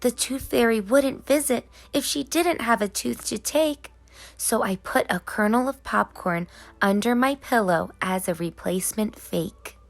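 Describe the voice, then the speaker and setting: A woman's voice: fairly high pitch, unhurried, vocal fry. A woman reading as a mischievous, anxious young girl in a children's storybook, in sing-song rhyme. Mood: sneaky. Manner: sing-song rhyming delivery. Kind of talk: children's storybook narration in character.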